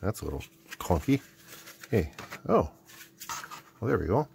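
A man's voice: deep voice, very animated, unhurried, creaky, a little mellow, slight background noise. A middle-aged man giving casual unboxing commentary, rambling and thinking aloud as he goes. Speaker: host. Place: indoor hobby workbench.

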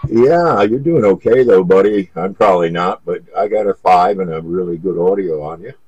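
A man's voice: low voice, normal speed, highs cut off, crowd noise. A man chatting casually over CB radio in an easygoing, folksy, hesitant way. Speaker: CB radio operator. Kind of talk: casual radio contact chat.

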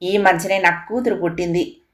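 A woman's voice: lowish voice, fairly quick, natural voice. A woman voicing a tiny male dwarf in a storybook tale, an assured and persuasive trader with rustic speech. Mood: reassuring. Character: knee-high male dwarf, persuasive trader of magic cauldron.

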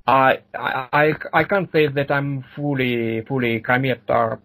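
A man, answering interview questions haltingly and repeating words and phrases. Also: medium pitch, steady tone, fairly bright tone, low-quality recording. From Ukraine. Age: 40s. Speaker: podcast guest.

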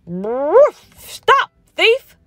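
A woman voicing an eager young male farm dog who yelps in shrill, excited shouts. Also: extremely slow, no room sound, exaggerated voice.

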